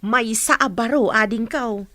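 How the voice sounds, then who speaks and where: A friendly, chatty housemaid for a radio play. The voice is high and recorded dry, with a deferential turn toward the employer. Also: female voice, unhurried, bright, forceful tone, no echo. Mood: confiding. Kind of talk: radio drama acting.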